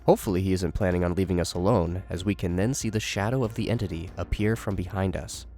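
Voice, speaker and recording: A man's voice: sits low, fairly even intonation, fast, dark tone, faint music behind. A man giving scripted narration and commentary as a horror series commentator.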